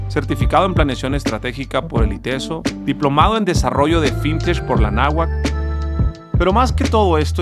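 A man in his thirties with a Mexican accent, hosting a podcast interview in a studio, talking with lively energy and frequent restarts. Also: medium pitch. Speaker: podcast host. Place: studio with wood-paneled wall. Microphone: studio mic on boom arm.

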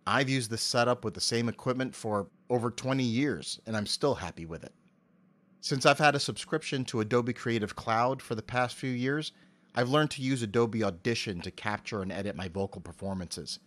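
A middle-aged man speaks as an interview guest in a medium-pitched voice. He is articulate and measured, and his answers sound prepared. He talks into a filtered cardioid mic in a home office. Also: a bit bright. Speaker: interview guest, amateur voice actor. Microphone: cardioid dynamic mic with pop filter, on a stand.

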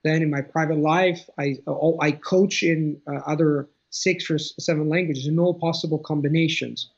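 Man, Italian accent, thirties, husky voice, home video call, muffled lo-fi audio. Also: fairly high pitch, steady tone, normal speed. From Italy. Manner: thoughtful, restarts phrases, gestures with hands. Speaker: interview guest, polyglot. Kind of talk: casual conversation. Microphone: video call webcam.

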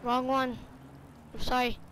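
High-pitched young voice over background noise. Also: little pitch movement, measured pace, bright, forceful tone. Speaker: online game roleplayer. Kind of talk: improvised casual game roleplay chat.

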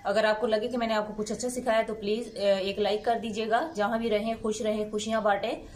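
A woman's voice: mid-range voice, little pitch movement, a little fast, darkish tone. A middle-aged woman hosting a cooking channel, explaining each step of a home recipe patiently. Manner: patient, instructive, homely. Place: home kitchen.

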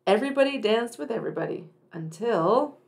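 Woman reading a picture book, very lively intonation. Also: a little high, brisk, gravelly. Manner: addresses young children, emphatic dramatic phrasing. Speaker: read-aloud storyteller for children.

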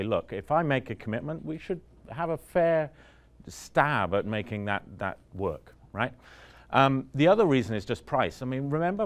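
Male speaker, 40s, low-ish voice, chatty conference talk, quizzes the room. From Vancouver, Canada. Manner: conversational, asks the room questions, frequent "right?". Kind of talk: conference talk with audience questions. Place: conference room in front of an audience.

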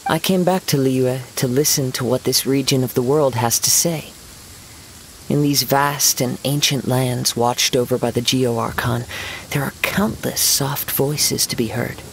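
Serene young poet swordsman, slightly gravelly. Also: medium pitch, poor audio. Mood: gentle, warm. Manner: measured, poetic, formal phrasing. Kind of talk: scripted game dialogue, storytelling. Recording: steady rain noise behind voice.

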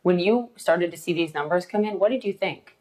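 Low-voiced woman interviewer. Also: brisk, darkish tone. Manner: attentive, probing, short affirmations. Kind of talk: television interview questions.

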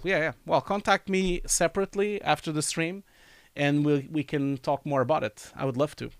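A man's voice: medium pitch, smooth, no room sound. A man in his forties with a nasal, scratchy cold voice, hosting a casual live Q&A from a home study and reading chat questions aloud with many fillers. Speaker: live stream host answering chat. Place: home study with bookshelves, indoors. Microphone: studio mic on boom, wearing headphones.

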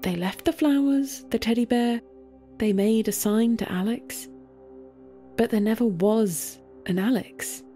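A woman's voice: a little high, a little slow, mellow, soft background music, natural voice. Woman voicing a blunt, offhand guide to the dead. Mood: offhand explaining. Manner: matter-of-fact, offhand.

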